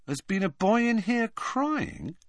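A man's voice: mid-range voice, huge pitch swings, normal speed, pushed and bright, no room sound, voice pushed up, exaggerated voice. A man voicing an earnest, reasonable teenage schoolboy in an audiobook, straightforward and youthful in manner. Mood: curious.

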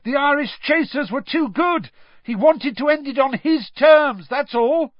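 Casting: excitable boy wizard for a storybook, played by a man, high urgent shouts, slightly dull recording. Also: unhurried, no room sound. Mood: insistent.

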